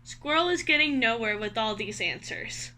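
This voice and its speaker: Bright, high-voiced woman reading to children. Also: moderate pace. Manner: expressive, clearly addressing young children. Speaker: read-aloud host. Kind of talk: children's story read-aloud with questions to listeners.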